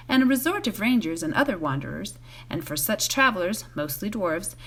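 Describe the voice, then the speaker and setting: A woman narrates a novel as a bedtime read-aloud. Her pitch is average but moves widely. The delivery is expressive storytelling. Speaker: volunteer story reader.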